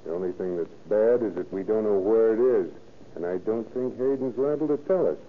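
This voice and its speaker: An actor playing a scheming, suspicious crook in a 1940s-style radio crime drama. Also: male voice, fairly low pitch, brisk, natural voice. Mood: suspicious, determined. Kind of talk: 1940s radio villain acting. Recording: tinny, noisy old radio broadcast.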